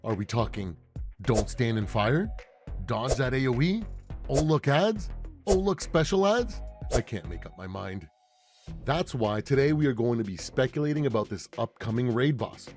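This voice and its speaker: A man's voice: mid-range voice, lively intonation, normal speed. A man hosting casual, scripted gaming commentary, talking in rhetorical questions and playful asides. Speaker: gaming video creator and host. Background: background music.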